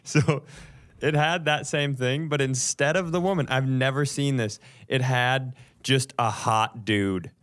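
A man's voice: medium pitch, a little slow, a bit bright. A man co-hosting a comedy podcast, bantering casually in a wry, riffing way.

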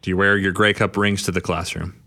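A male sports radio host with a fairly low voice, recorded dry and close in a studio. He asks brisk, friendly questions and keeps the conversation moving. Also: flat delivery, bright, forceful tone, no echo.

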